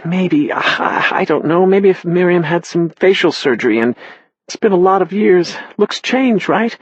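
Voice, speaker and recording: A man reading an audiobook, voicing a grieving middle-aged woman who speaks in a tearful, halting way. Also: high voice, lively intonation, slightly rough, no echo, voice pushed up, full character voice. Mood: shaken tearful. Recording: slightly dull, dry sound.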